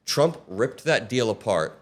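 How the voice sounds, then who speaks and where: A young man hosting political commentary, talking in an emphatic and sarcastic way, speeding up and slowing down. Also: mid-range voice, animated. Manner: emphatic, sarcastic, shifts between fast and slow.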